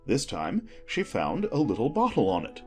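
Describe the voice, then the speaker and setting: A man narrating a children's storybook from a script in an expressive style, as an audiobook reader. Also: medium pitch, normal speed, soft background music.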